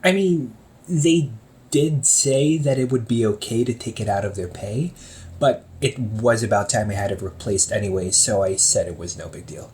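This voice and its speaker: A man's voice: fairly low pitch, normal speed, a little mellow, a little hiss or hum, natural voice. A man acting out a chatty, teasing young older brother who runs a hobby shop, talking one-sided to the listener in an audio roleplay and laughing often. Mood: casual.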